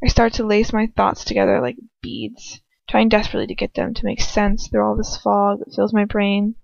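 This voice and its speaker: A woman's voice: medium pitch, moderate pace, vocal fry, darkish tone, no room sound, highs cut off. A woman narrating a first-person story as an amateur audiobook reader, now and then stumbling and retaking a line.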